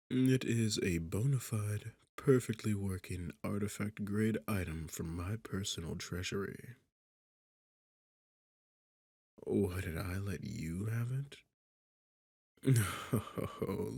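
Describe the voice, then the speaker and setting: A man voicing a smug, sarcastic demon king in an ASMR roleplay, with a husky, gravelly low voice and a mocking, theatrical delivery. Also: darkish tone, no echo, full character voice. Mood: amused, condescending. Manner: mocking, teasing, condescending, theatrical. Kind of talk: ASMR roleplay audio drama.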